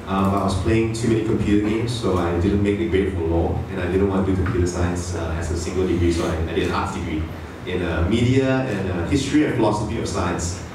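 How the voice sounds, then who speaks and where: A man's voice: deep voice, steady tone, quick pace. A Malaysian man in his late twenties, founder, giving a chatty, self-deprecating conference talk full of fillers and restarts. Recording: echoey hall, mic far off, noisy.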